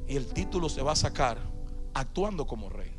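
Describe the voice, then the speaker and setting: A man's voice: medium pitch, very animated, brisk. A man in his thirties preaching emphatically to a congregation through a handheld microphone, often repeating his phrases. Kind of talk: charismatic church sermon. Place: large church auditorium, on stage. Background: music playing behind the voice.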